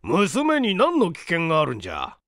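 A man voicing a gruff, forgetful old male professor with blustering, old-fashioned speech in an audiobook mystery. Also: a little high, huge pitch swings, normal speed, a bit bright, dry recording, clean studio sound, full character voice. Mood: gruff, astonished.

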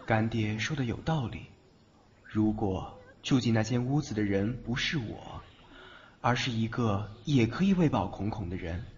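A cheeky, lovestruck college boy acted by a young man speaks earnestly with animated pitch. It sounds like a radio play, slightly dull with faint noise. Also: measured pace. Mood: earnest, wistful. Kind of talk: Chinese audio drama acting.

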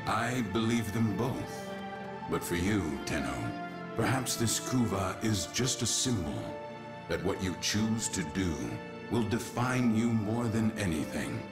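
A solemn game mentor with a very low voice. He speaks in measured, philosophical phrases, grave and cryptic. His words echo under loud music. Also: expressive. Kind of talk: scripted video game acting. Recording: echoing, loud music behind.

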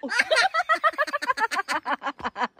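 A woman's voice: very high-pitched, huge pitch swings, drawn-out words, crowd noise. Giggly woman vlogging on a hike. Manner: giggly, chatty, mixing English and Filipino. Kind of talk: casual vlog narration. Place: outdoors on a rocky lakeshore and forest trail. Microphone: handheld phone.

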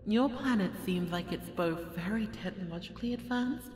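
A woman's voice: medium pitch, normal speed, darkish tone, natural voice. Woman playing a haughty goddess in an echoing hall. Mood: grudgingly amused. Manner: imperious, mocking, sighing, theatrical. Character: haughty, bored, playful goddess of destruction. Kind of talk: ASMR audio roleplay acting. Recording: echoey hall reverb, faint background noise.

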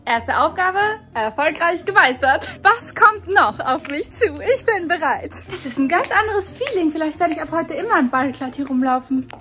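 A young woman with a high voice chats casually to a handheld camera. She is playful and teasing in the way she talks. Clear music plays behind her. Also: expressive, moderate pace, hardly any pauses. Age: 20s. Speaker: vlog host. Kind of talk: casual vlog chat. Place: farmhouse, stable and farmyard, indoors and outdoors.

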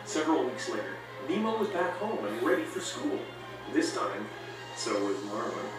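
Male storybook narrator, expressive range, very echoey recording over loud music. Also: mid-range voice, moderate pace, distant mic. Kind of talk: scripted narration of a children's read-along story.

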